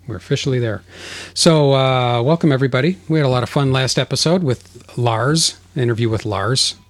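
A man's voice: medium pitch, brisk. A man hosting a casual podcast, joking and laughing often as he reads listener mail aloud. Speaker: podcast host.

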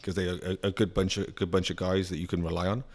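A man's voice: low-pitched, little pitch movement, fast. A Welsh man from Wales, a car-dealer guest chatting casually on a talk show, with frequent ums and restarts. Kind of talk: casual chat.

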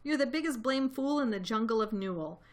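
A woman's voice: fairly high pitch, animated, brisk, close to an everyday voice. A woman voicing a sour, scornful storybook kangaroo who scoffs with haughty emphasis. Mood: mocking.

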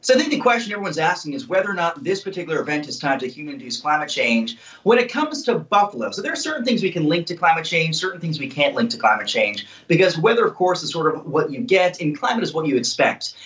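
A man's voice: fairly high pitch, very animated, fast, few pauses, a bit bright, muffled recording. A man explaining things in an interview as an expert meteorologist.

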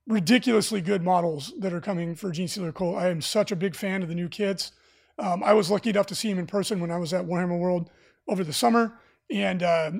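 A raspy-voiced man hosting a casual live stream for a game store, talking enthusiastically with lots of fillers. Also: high voice. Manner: enthusiastic, rambling with many fillers. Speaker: livestream host for a game store.

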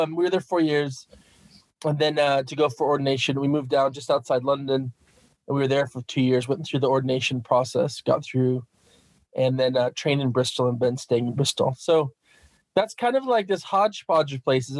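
A middle-aged man from Minnesota with an American Midwest accent, telling his story as a guest on an interview podcast in a lively, self-deprecating way. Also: a little high, dry recording, low-quality recording. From Minnesota, USA. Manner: animated, self-deprecating, lots of fillers. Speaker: vicar and guest.

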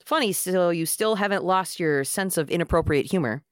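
A woman's voice: on the low side, normal speed, dry recording, clean studio sound, lightly acted. A woman playing a loyal, wry young man in a podcast script read, speaking casually. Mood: annoyed. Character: young man, loyal peacemaker friend, wry, feeling overlooked.

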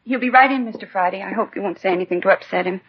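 A woman's voice: mid-range voice, racing, slight background noise, lightly acted. An actress playing a defensive, nagging housewife and mother in a 1950s radio crime drama, testy and insistent. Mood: guarded, firm. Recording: dull lo-fi 1950s radio recording.

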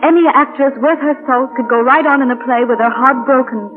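An actress playing a lovelorn, proud young stage actress in a 1940s radio drama, with wistful, theatrical phrasing. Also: female voice, high-pitched, fairly quick, phone-quality sound, close to an everyday voice. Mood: moved, brave. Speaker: radio actor. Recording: thin, band-limited 1940 radio broadcast.

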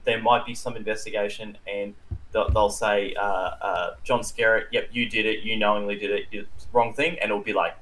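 A man's voice: on the low side, level tone, normal speed, a bit bright, a little hiss or hum, low-quality recording. A man co-hosting a podcast, chatting casually and giving his opinions with a wry, cynical manner. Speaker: podcast co-host.

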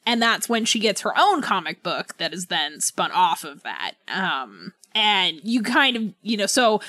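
A woman's voice: medium pitch, fairly bright tone, no room sound. A woman co-hosting a podcast in a casual book chat, often breaking her sentences to correct herself and prone to laughter. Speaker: podcast co-host.

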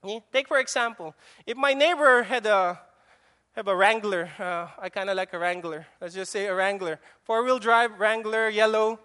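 A man's voice: high-pitched, fairly even intonation, normal speed, sharp tone. A man with a Filipino accent preaching a sermon, emphatic, often repeating and restarting his words. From Philippines. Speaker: pastor.

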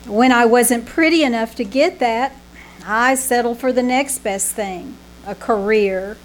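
A woman's voice: a little high, measured pace, lightly acted, poor audio. A woman acting a theatrical, melodramatic actress in the style of an old-time radio play, recorded live in a theater. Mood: wistful. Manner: florid, stagey, dramatic declamation. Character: theatrical, melodramatic actress, wistful underneath, playing wronged innocent.

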